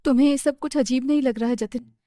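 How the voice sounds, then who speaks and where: Woman acting anxious young woman, high voice, insistent, urgent, dry recording, animated horror story. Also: fast, no echo, lightly acted. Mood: uneasy, suspicious. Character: worried young woman, suspicious insistent girlfriend.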